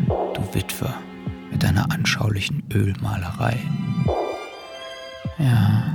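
Casting: over loud music, insinuating gentleman, slowish and creaky, half-whispered radio-play delivery. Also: fairly low pitch. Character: sly insinuating gentleman, needling, wounded fellow sufferer. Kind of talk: German radio drama acting.

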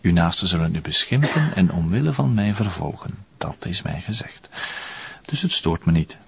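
An actor playing a defiant, deluded young Flemish son who believes himself chosen, speaking solemnly in a TV crime drama. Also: male voice, low voice, sing-song, moderate pace, creaky, slightly rough, no room sound, dull audio, full character voice. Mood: stubborn, fervent. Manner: solemn, stubborn, formal phrasing.

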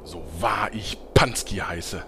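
A man playing a slick, flattering showbiz director in a parody audio drama, cajoling and gushing. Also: fairly low pitch, slow pace, slight background noise, heavily acted. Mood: coaxing. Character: slick, flattering showbiz director coaxing his villain star.

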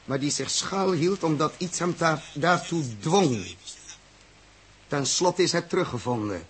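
An actor playing a blunt, intense male spaceship captain in a 1960s radio play, emphatic and deliberate. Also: on the high side, expressive, a bit bright, slightly rough, full character voice. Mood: grave, determined.

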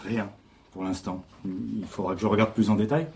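An actor playing an excitable police investigator who eagerly explains his nerdy parody faith, in a naturalistic audio drama. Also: fairly low pitch, normal speed, creaky, a little hiss or hum. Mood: excited. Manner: enthusiastic explainer. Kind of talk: naturalistic French audio fiction acting.

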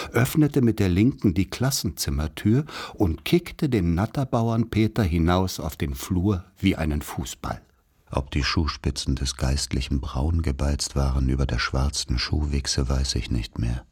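A man's voice: deep voice, darkish tone, breathy edge, exaggerated voice. A man narrating a literary radio play as someone retelling his village boyhood in a solemn, declamatory way.

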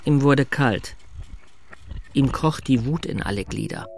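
An actor playing a sober, shy, awkward middle-aged man in an understated, halting radio drama style. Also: mid-range voice, vocal fry, a little hiss or hum. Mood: tense, sober. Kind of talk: German radio drama, understated dialogue and narration.

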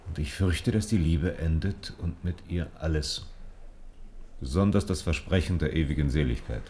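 A man's voice: extremely low pitch, normal speed, darkish tone. An actor playing a weary, bereaved older father in a radio play, grave and restrained, full of bitter doubt. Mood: grieving, resigned. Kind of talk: German radio play acting. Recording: faint background noise and ambience.

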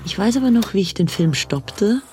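An actor playing a matter-of-fact, measured male narrator in a scripted radio drama. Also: high voice, expressive, a little mellow, a little hiss or hum. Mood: intrigued, factual.

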